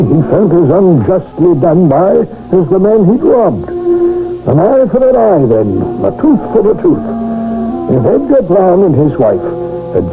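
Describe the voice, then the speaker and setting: High-pitched, theatrical male radio narrator. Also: narrow, tinny audio. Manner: theatrical, deliberate, storytelling. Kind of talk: dramatic scripted radio narration, 1970s. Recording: thin old radio broadcast.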